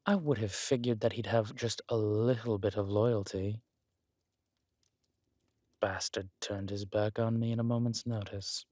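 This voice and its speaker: Villain husband , male actor: scheming noble usurper, slow aristocratic delivery, breathy asides, dry intimate roleplay sound. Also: on the low side, steady tone, a little mellow, dry recording. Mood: smug, musing. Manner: suave, aristocratic, deliberate, with breathy near-whispered endearments. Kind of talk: intimate roleplay audio drama acting.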